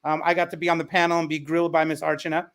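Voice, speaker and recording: A man's voice: a little high, level tone, racing, a bit bright. A man moderating a panel discussion in a conversational way, as its host. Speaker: panel host and elementary school teacher.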